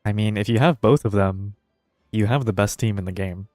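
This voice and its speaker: A man's voice: on the low side, brisk, a little mellow, no room sound, clean studio sound. A man streaming a game and chatting casually, rambling in a hesitant, laid-back way as he thinks aloud. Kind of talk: casual livestream chat while gaming. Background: faint music.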